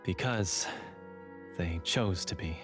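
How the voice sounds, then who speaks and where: A man's voice: low-pitched, slow, a bit bright, faint music behind. A man voicing a repentant monster hunter in a video game, speaking in a solemn, formal, pleading manner.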